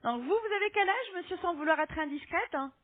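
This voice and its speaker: A woman making a phone sales pitch as an appointment-booking agent, polite but insistent, often ending on a checking question. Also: animated, brisk, crowd noise. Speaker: telemarketing agent booking health insurance appointments. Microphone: telephone. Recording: lo-fi muffled phone call.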